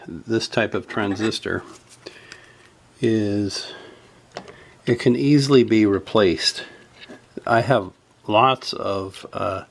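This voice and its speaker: A man giving an unscripted hands-on repair tutorial, thinking aloud haltingly as he works. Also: on the low side, creaky. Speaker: host of a radio repair video.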